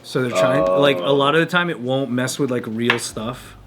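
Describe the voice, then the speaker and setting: A man's voice: mid-range voice, moderate pace. A man explaining things casually in a group, repeating phrases and often saying "like". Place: group talk show studio.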